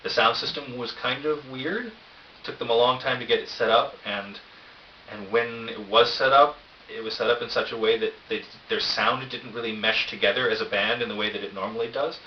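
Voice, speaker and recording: A man's voice: medium pitch, fairly even intonation, brisk. A man talking casually and ramblingly to a vlog camera, a little self-deprecating, on a cheap microphone. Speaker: vlogger. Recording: roomy, distant dull camera mic with hiss.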